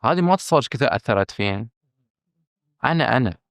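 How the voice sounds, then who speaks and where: A man with a Bahraini accent, a film director speaking candidly as a podcast guest, emphatic and earnest, often pausing on fillers and restarting. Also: medium pitch, lively intonation, a bit bright, no room sound, studio quality. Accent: Bahraini Arabic. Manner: earnest and emphatic, stressing his frankness, with hesitant fillers and restarts.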